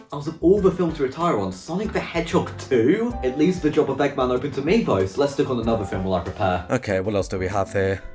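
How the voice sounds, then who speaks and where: A man's voice: fairly low pitch, darkish tone. A young man giving a sarcastic, scripted comedic film review as host, with some swearing. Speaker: video essay host.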